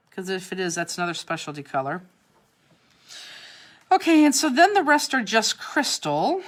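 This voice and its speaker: Woman, cleveland accent, chatty craft class host, mid pitch, works while she talks. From Cleveland, Ohio. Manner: chatty, answers viewer comments while working. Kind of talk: live online craft demonstration. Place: craft studio, indoors.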